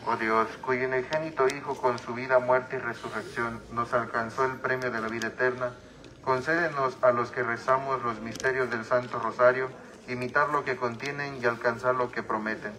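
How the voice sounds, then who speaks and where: Man chanting prayers, flat and steady. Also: medium pitch, moderate pace, rough recording. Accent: Mexican Spanish. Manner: steady, even chanted recitation. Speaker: prayer leader. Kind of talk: reciting rosary prayers. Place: outdoor street altar at a night gathering. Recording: congregation voices around the mic.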